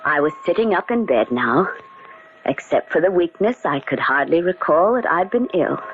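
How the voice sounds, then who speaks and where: An actress playing a frail, high-strung gray-haired woman who fears madness, fretful and dramatic in 1940s radio style. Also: lively intonation, normal speed, lightly acted. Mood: subdued. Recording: muffled, very noisy old radio recording.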